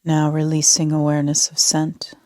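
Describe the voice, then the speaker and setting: A woman leading a sleep meditation as a yoga nidra instructor, speaking in a soft, half-whispered, calm and soothing way. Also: sits low, normal speed, no room sound, clean studio sound.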